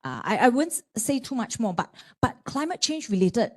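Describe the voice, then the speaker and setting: A woman speaking on a panel to a live audience, with a loud voice and a chatty manner, often checking in with "right?". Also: on the high side, big pitch swings, moderate pace. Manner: chatty, keeps asking "right?". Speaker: panelist, disputes lawyer.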